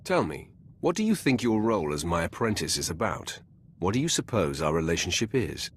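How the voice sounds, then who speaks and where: Casting: cold game villain master, self-assured and terse, gravel in the voice, widely swinging intonation. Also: male voice, sits low, sing-song, a little fast, slight background noise. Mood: commanding. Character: commanding male Sith lord, cold, domineering master. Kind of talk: dramatic video game voice acting.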